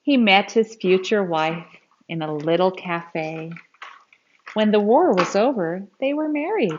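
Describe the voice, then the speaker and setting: A woman reading a picture book aloud to young children in an expressive storytelling style, with simple explanatory asides. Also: mid-range voice, unhurried, dull audio, a little hiss or hum.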